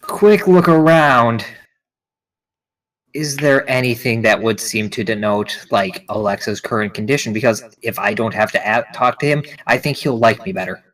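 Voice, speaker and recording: A man chats as a player in a tabletop roleplay, jokey and animated, his voice jumping up when he gets excited. He sits a little back from the mic. His pitch is ordinary for a man. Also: moderate pace, recorded from a distance, rough recording. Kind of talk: casual roleplay chat.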